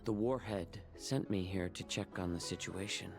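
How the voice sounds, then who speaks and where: A man playing a shrewd, composed fox-man healer held captive in a video game, measured and wary. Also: sits low, vocal fry, music in the background. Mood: resolved. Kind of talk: dramatic video game voice acting.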